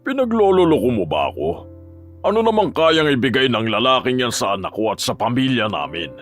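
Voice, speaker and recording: Lalaking gumaganap bilang malupit at galit na matandang ama, pabago-bago ang tono. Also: male voice, mid-range voice, big pitch swings, a little fast, soft background music, close to an everyday voice. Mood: scornful. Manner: harsh, threatening. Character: cruel, raging, greedy old father.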